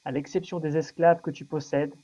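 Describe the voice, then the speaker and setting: A man's voice: a little high, fairly even intonation, brisk, mellow, highs cut off. A man in a live debate, reading a passage aloud steadily and plainly. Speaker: debate participant reading a verse.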